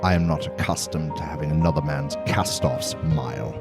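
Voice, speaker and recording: Very deep-voiced haughty lord. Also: male voice, moderate pace, vocal fry, loud music behind the voice. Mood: cold, demanding. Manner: imperious, formal. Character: haughty, demanding male lord, cold villainous patron. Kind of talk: audio drama acting.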